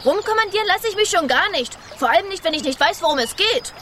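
A high-voiced, stubborn little mouse. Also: female voice, animated, fast talker, a bit bright, slight background noise. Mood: wary. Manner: sassy, contrary. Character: stubborn, cheeky female mouse, reluctant adventurer. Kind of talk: children's radio play acting.

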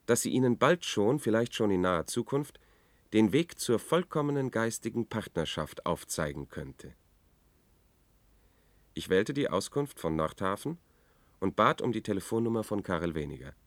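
Low male voice, dry close recording, anxious, reflective, measured, radio drama narrator. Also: no echo. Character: anxious, brooding man recounting his search for a friend.

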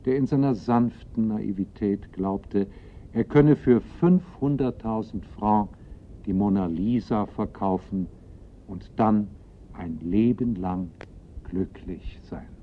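Male storyteller, radio play. Lowish pitch, scripted dramatic delivery, slightly dull sound. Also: slow, a little hiss or hum. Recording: slightly muffled radio recording.

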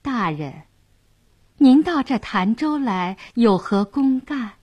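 A woman storyteller voicing a young fishwife who is sweet, bashful and flattering, with cunning deference. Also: on the high side, slow pace, breathy edge, pitched above the performer's own voice, full character voice.

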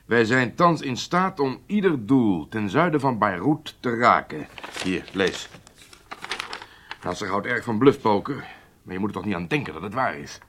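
A man's voice: on the low side, lively intonation, moderate pace, fairly bright tone, slight background noise. Commanding male spy chief. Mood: wry, pensive. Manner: authoritative, exacting. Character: commanding male Israeli intelligence chief, brisk and wary. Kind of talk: Dutch radio drama acting.

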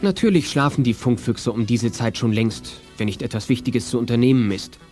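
A man's voice: mid-range voice, brisk, darkish tone, soft background music, natural voice. A man narrating a German children's audio drama in a scripted storytelling style.

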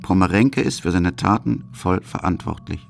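A man's voice: deep voice, normal speed, vocal fry, soft background music. A man reading scripted documentary narration.

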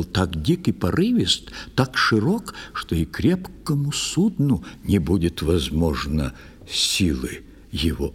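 An actor playing a stern, grave old priest in a verse audio play, declaiming with emphasis and suspicion. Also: male voice, low voice, breathy edge, long reverb tail, exaggerated voice.

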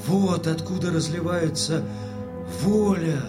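An eager young Cossack in a radio play, full of wonder. His fairly high voice declaims in slow, awed phrases with loud music behind it. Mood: awed, exalted. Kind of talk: theatrical audio drama acting.

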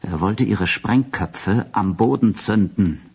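Grave male doctor, radio play: low, heavily husky voice, stunned halting delivery, alarmed. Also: a little mellow, full character voice. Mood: grim. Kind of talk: radio drama acting.

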